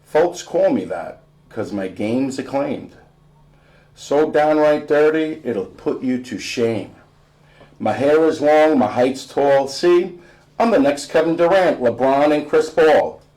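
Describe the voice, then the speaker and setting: A man's voice: on the high side, lively intonation, unhurried, a little mellow, low-quality recording. An American man voicing a cocky teenage boy basketball player in punchy, rhythmic verse from a storybook. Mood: boastful. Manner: rhythmic, punchy rhyming delivery.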